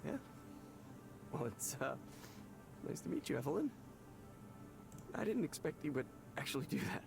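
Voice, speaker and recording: An actor playing a desperate, lonely, emotionally broken man in a video game, speaking in a creaky, hesitant, stammering way. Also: on the high side, big pitch swings, moderate pace, over music. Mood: fragile, grateful. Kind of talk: emotional dramatic game acting.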